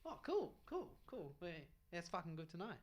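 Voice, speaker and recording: A man's voice: high-pitched, sing-song, normal speed. A man voicing a panicky, foul-mouthed young male stoner who swears constantly. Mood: nervous wheedling.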